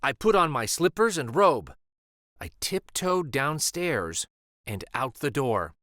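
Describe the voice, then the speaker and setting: Slow, expressive male storyteller. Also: mid-range voice, no room sound, studio quality. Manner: expressive, deliberate. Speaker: storyteller reading aloud. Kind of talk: children's picture book narration.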